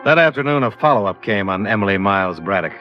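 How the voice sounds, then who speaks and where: An actor in a 1950s radio drama playing a blunt, hard-boiled insurance investigator who talks in a terse, matter-of-fact way. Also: male voice, on the low side, expressive, quick pace, over music, close to an everyday voice. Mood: dry narrating. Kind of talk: 1950s radio detective acting. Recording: dull vintage radio recording.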